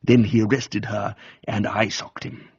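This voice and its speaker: A man voicing a blunt, intense young political agitator with a low, guttural voice in a dramatised reading. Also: level tone, measured pace, creaky, husky, dull audio, heavily acted. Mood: indignant. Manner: blunt, clipped, emotional. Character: fiery gruff intense young left-wing male agitator.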